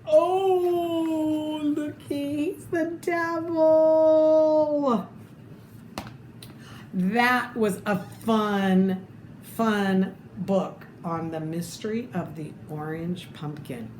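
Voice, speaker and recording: A woman reading a children's picture book aloud expressively, pausing to add her own comments. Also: a little high, darkish tone. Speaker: storybook reader. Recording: echoey room with faint background noise.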